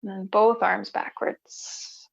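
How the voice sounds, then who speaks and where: A woman yoga instructor guiding relaxation in a calm, soothing, unhurried way with long pauses, in a slightly dull recording. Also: mid-range voice, vocal fry.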